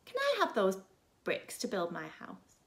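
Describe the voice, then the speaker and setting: A woman's voice: medium pitch, huge pitch swings, unhurried. A woman voicing a plucky little storybook pig, polite but defiant, in simple sing-song phrases. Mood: pleading.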